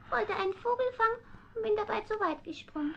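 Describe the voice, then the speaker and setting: A woman voicing a polite, cheeky and self-assured male talking cat in a radio play, speaking in a genteel, coaxing way with soft whispered asides. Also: extremely high pitch, lively intonation, fast, gravelly, exaggerated voice. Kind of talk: vintage radio play acting. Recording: dull older lo-fi recording.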